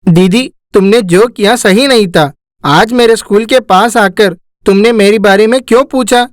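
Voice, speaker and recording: A woman voicing a young schoolboy, an emotional younger brother, speaking earnestly in an animated moral story. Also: medium pitch, normal speed, no echo, clean studio sound, natural voice. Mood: upset.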